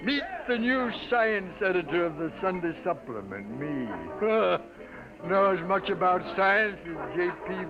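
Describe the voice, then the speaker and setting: Middle-aged American newspaper editor, higher-voiced, sardonic and weary, old radio drama with dulled sound. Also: male voice, very animated, measured pace, music in the background, heavily acted. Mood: bitterly sardonic. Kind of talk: 1950s radio drama acting. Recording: dull vintage 1950s radio recording.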